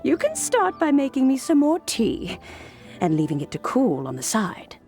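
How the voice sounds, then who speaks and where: A woman voicing a fond, indulgent elderly granny in a video game, unhurried and gently scolding. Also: high-pitched, huge pitch swings, soft background music, heavily acted. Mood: fond, teasing.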